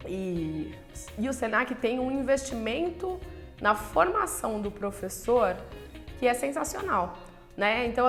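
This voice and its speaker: A nutritionist, talking frankly to camera in a chatty way, full of filler words and rhetorical questions. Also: female voice, a little high. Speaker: nutritionist and channel host. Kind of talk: casual talk to camera.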